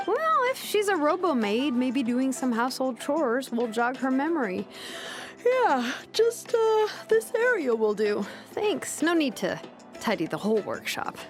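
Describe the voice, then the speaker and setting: A woman's voice: high-pitched, big pitch swings, a little slow. A woman playing a cheerful, curious young female inventor in a video game, speaking in a casual, inquisitive way. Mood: delighted, eager. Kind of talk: game voice acting. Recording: music clearly behind.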